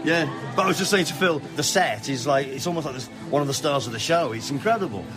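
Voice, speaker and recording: A man's voice: on the high side, expressive, quick pace. A man presenting and interviewing on local radio in a chatty, enthusiastic, effusive way. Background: music.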